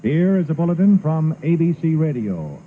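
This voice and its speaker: A male network announcer reading a 1960s radio news bulletin in a grave, deliberate way. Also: a little high, sing-song. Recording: phone-like, faintly hissy 1960s radio recording.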